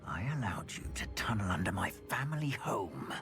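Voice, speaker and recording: A man playing a cold, indignant dark wizard crime boss in a video game, haughty and accusing. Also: deep voice, very animated, moderate pace, faint music behind, exaggerated voice.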